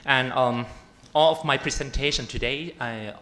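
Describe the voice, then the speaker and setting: A man's voice: medium pitch, normal speed, bright, forceful tone. A man with a Thai accent, a researcher, giving a panel presentation in a hesitant way with many fillers.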